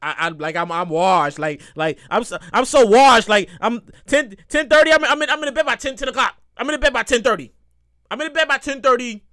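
A man's voice: very high voice, a little fast. A man in his thirties hosting a casual solo podcast, rambling and cursing as he repeats himself. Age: 30s. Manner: animated, acts out quotes.

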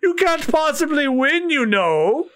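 Man putting on a boastful pirate, very high voice, pompous, bright tone. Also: very animated, measured pace, full character voice. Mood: gloating. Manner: pompous, taunting. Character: boastful shield-wearing male pirate. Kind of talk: reading a comic aloud.

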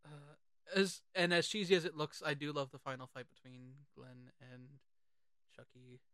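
Film podcast host, bright voice, excitable, sweary, casual chat, dry sound. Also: mid-range voice, normal speed, dry recording. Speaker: podcast host reviewing films.

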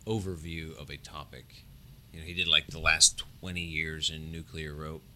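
Middle-aged male professor, deep and mumbly, bright timbre, halting delivery. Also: little pitch movement, slight background noise. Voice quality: low, mumbling voice. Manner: halting, restarts and trails off. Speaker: engineering professor, guest. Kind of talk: casual conversation. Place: university lab or office. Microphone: shared podcast mic.

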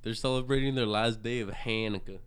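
A stoned man co-hosting a podcast, trading crude banter in a deadpan way with curt replies. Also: medium pitch, brisk, clear, resonant tone. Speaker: podcast co-host.

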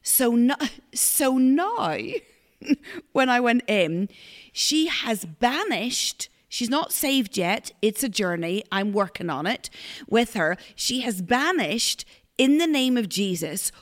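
A woman with a big, loud voice, co-hosting a podcast and telling lively stories. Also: a little high, slow pace. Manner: big loud voice, animated storyteller. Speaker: podcast co-host. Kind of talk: casual storytelling chat.

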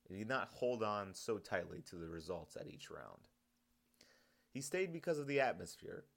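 Man, low-pitched voice, author reading own audiobook, scripted story narration. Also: vocal fry, fairly bright tone.

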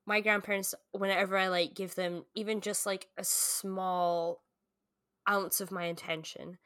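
A woman's voice: medium pitch, level tone, measured pace, fairly bright tone, smooth, no echo, studio quality. A woman in her late twenties co-hosting a podcast, chatting casually and informally about books, peppering her talk with "like". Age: late 20s. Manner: informal, frequent "like" as filler, mild swearing. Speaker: podcast co-host. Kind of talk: casual book-club chat.

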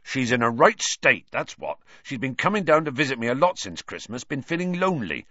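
A man voicing a big, kindly, gruff gamekeeper in a storybook, earnest and plain-spoken with rustic dropped words. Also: medium pitch, sing-song, brisk, pushed and bright, dry recording, muffled recording, voice pushed up. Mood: serious.

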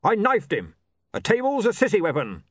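A man's voice: high-pitched, huge pitch swings, moderate pace, a bit bright, slightly rough, dry recording, clean studio sound, pitched above the performer's own voice, heavily acted. A man voicing a thick-shouldered barbarian with wounded pride, blustering and indignant in simple, ungrammatical speech. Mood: aggrieved.

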